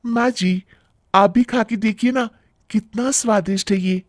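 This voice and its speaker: A man's voice: high-pitched, fairly even intonation, unhurried, no room sound, studio quality, pitched above the performer's own voice. A man voicing a sweet, whiny, naively greedy young wife in a cartoon story, coaxing and drawing out the words. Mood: eager, coaxing. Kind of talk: animated Hindi moral-story cartoon acting.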